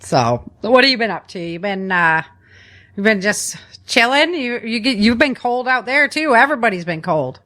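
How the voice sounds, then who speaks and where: A woman's voice: on the high side, lively intonation, normal speed, pushed and bright. A woman hosting a podcast in casual, chatty conversation from a family room at home, using informal, slangy language. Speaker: podcast host.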